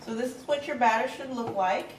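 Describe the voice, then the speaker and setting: Relaxed woman demonstrating a recipe in her kitchen, loose and chatty, recorded from a distance. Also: fairly high pitch, a little fast, echoey room, a little hiss or hum. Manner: chatty and relaxed, with filler words. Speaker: home cooking demonstrator. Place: home kitchen.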